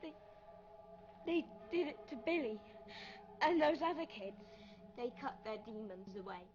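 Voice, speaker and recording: Frightened, defiant high-voiced girl. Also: animated, a little slow. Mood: frightened, upset. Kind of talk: dramatic game acting. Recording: dull sound under clear music.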